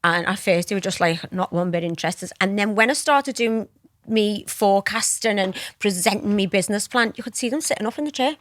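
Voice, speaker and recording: A woman from Liverpool in her thirties with a strong Scouse accent, a podcast guest who tells blunt, animated stories and acts out the voices in them. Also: medium pitch, fairly quick, no echo. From Liverpool, England. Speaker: guest, entrepreneur. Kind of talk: casual interview chat.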